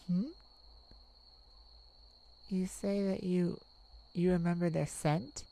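A woman playing a tenderhearted warrior mage in a soft ASMR romantic roleplay, speaking in a hushed, soothing, halting way. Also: on the low side, creaky, poor audio. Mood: uneasy, thoughtful. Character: tenderhearted young warrior mage comforting a werewolf lover. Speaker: audio roleplay performer.

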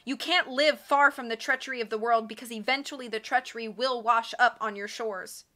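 A woman's voice: a little high, steady tone, brisk, pushed and bright. A woman voicing an earnest, bookish young boy in a storybook, pleading and insistent. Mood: protesting.

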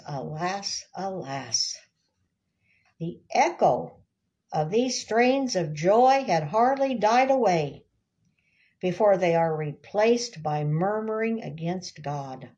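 An older woman giving a devotional reading with commentary, unhurried and emphatic, savoring and repeating words. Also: on the low side, lively intonation, darkish tone, muffled recording. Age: older, about 70s. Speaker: devotional reader and Bible teacher. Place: small indoor room, seated.

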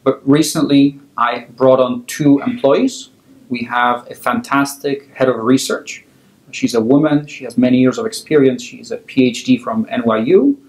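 A man's voice: medium pitch, fairly even intonation. Businesslike Polish-born man, roomy office. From Poland. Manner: measured, organized, businesslike. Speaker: investment fund founder. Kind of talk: interview answers. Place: office with wooden chairs, indoors. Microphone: lapel mic.

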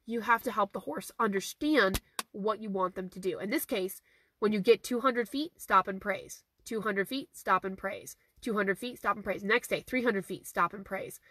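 A woman in her thirties, a horse trainer with a hoarse, fading voice, answering viewer questions in a casual live stream from her car, phone held at arm's length, repeating her key points earnestly. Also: medium pitch, normal speed, bright, forceful tone, no room sound. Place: inside a parked car. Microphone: phone camera at arm's length.

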